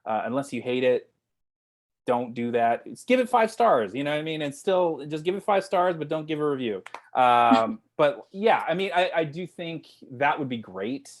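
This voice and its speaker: A man's voice: on the high side, expressive, normal speed. A man chatting casually and jokingly on a video call as a writer-director guest. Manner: informal, joking. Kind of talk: casual chat.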